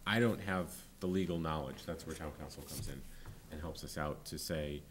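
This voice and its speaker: A man in his forties, a town planning official, answering a board's questions at a public meeting, often pausing with ums and restarting sentences. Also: extremely low pitch, slight background noise. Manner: hesitant, many ums and restarts. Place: town hall meeting room. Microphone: desk microphone.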